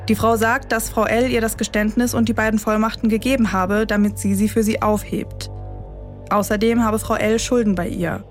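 Woman narrating true crime over music. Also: medium pitch. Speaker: podcast host.

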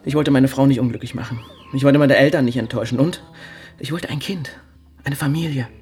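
An actor playing an evasive, anguished young footballer and father in a TV crime drama, speaking haltingly. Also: medium pitch, darkish tone, soft background music, close to an everyday voice. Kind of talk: naturalistic German TV drama dialogue.

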